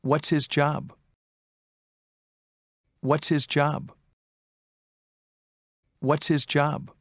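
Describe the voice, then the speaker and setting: An adult voice playing an interviewer in a scripted textbook dialogue, asking friendly questions with measured delivery. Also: mid-range voice, flat delivery, a bit bright, no echo, studio quality. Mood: plain.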